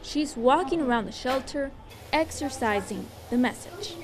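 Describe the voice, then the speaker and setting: A woman's voice: high-pitched, animated, normal speed, a crowd in the background. A woman television reporter reading scripted news narration.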